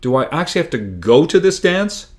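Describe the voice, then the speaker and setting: A man's voice: fairly high pitch, very animated, a little fast, a little mellow, pitched above the performer's own voice. A man voicing a carefree, cocky schoolboy joker in a book reading, with a breezy, teasing manner. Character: joking, carefree, cocky schoolboy class president.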